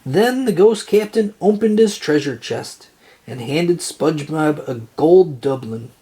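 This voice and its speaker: Slow, husky children's storybook reader. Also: medium pitch, animated, mellow. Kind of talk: children's read-aloud narration.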